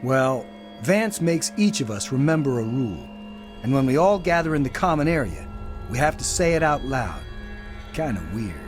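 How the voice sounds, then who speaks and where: An actor playing a friendly new member of an underground sect in a video game, with a casual, open manner. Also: male voice, medium pitch, big pitch swings, normal speed, natural voice. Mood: friendly, chatty. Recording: music behind the voice.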